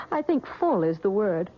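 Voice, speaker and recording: A woman's voice: medium pitch, slight background noise. An actress playing an earnest, emotional young wife and new mother in an old radio drama. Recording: dull old radio broadcast recording.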